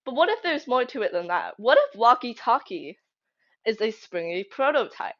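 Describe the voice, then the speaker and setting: A woman's voice: on the high side, very animated, moderate pace, a bit bright. A young woman giving casual fan commentary, conversational and emphatic, thinking aloud as she goes. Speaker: fan theory video creator. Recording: slightly dull lo-fi home recording.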